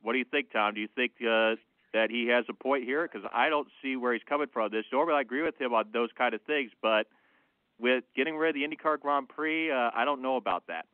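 A man's voice: medium pitch, brisk, no echo. Man on a thin phone-like line. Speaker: motorsport analyst on a sports radio show. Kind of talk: conversational sports commentary. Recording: phone-like, narrow-band dry line.

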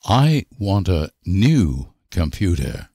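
Very slow, deep-voiced man. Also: very animated, warm tone, no echo, clean studio sound. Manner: deliberate, careful enunciation. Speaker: language teacher. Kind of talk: slow repeated example sentences for language learners.

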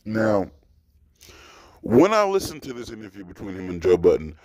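A young man with a deep, very low voice talks straight into his desk mic from a small dark room, and the sound is dry and close. He rambles in an animated, emphatic way, swearing freely as he reacts in casual commentary. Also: normal speed, no room sound. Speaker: reaction host.

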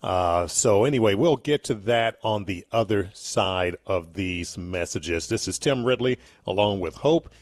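Deep-voiced male radio host. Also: no echo. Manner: easygoing, halting with fillers. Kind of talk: radio talk show hosting.

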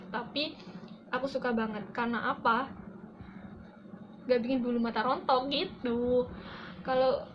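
A young adult woman giving a casual, chatty product review to camera as a beauty vlogger. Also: fairly high pitch. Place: small room indoors. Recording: dull lo-fi audio with loud background noise.

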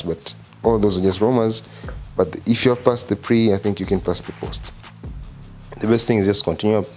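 A man's voice: on the low side, darkish tone. A young man from Tanzania with a Tanzanian accent and Swahili as his first language, explaining steps informally into a handheld mic in a living room, often correcting himself. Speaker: vlogger. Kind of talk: casual explanatory vlog. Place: living room, seated on a sofa. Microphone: handheld microphone.

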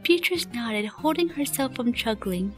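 A woman's voice: fairly high pitch, lively intonation, measured pace. A woman reading scripted story narration as the narrator of a fan radio play. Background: music.

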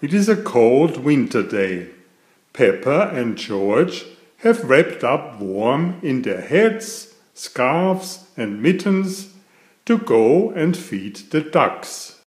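Male storyteller for small children, unhurried and animated, roomy sound. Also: medium pitch. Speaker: picture book reader and author. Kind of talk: slow read-aloud storytelling for young children.

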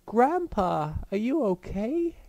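A man puts on a naive little schoolboy for a cartoon parody. The voice is mellow and very animated, innocent and earnest, with a dry close sound. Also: high voice, slow pace, vocal fry, no echo, exaggerated voice. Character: naive, chirpy small teenage schoolboy card player. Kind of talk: parody anime dub.

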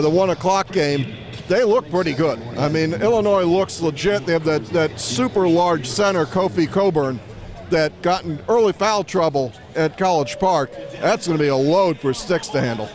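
A middle-aged man hosts casual postgame sports talk. His voice sits high for a man, picked up on a handheld mic inside a large arena. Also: moderate pace, crowd noise. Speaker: postgame show host. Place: on the court of a large indoor arena. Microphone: handheld microphone.

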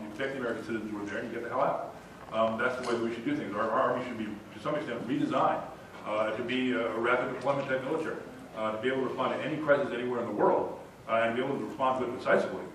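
A man argues his point quickly and firmly on a conference panel. The sound is roomy and distant, with faint hiss. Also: medium pitch, a bit bright. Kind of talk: panel discussion. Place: large conference room. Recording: echoey room, far from mic.

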